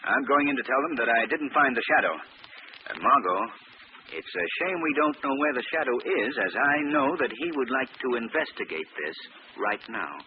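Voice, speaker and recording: A man acting a suave, wry gentleman amateur detective in 1940s radio style. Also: medium pitch, expressive, normal speed, natural voice. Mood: thoughtful, teasing. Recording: tinny, noisy old radio broadcast.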